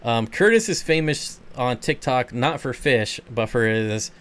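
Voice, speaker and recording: A man from Michigan hosts a casual live chat, drifting from comment to comment in a laid-back, rambling way. His voice is smooth and clear and sits at an ordinary male pitch. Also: lively intonation, a little slow, a bit bright. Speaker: channel host. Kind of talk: casual livestream chat, answering viewer comments.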